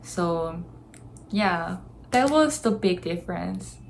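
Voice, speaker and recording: A woman's voice: fairly low pitch, expressive, slow, creaky, poor audio. A young Korean woman with a Korean accent, speaking English as a second language in a chatty, earnest vlog to camera. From South Korea. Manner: chatty, earnest, laughs between thoughts. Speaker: vlogger. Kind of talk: casual vlog talk to camera.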